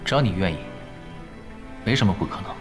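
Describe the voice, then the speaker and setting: An actor in a Chinese audio drama playing a laid-back veteran pro gamer, teasing and persuasive in an unhurried way. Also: male voice, low voice, lively intonation. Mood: probing, calm. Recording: background music under the dialogue.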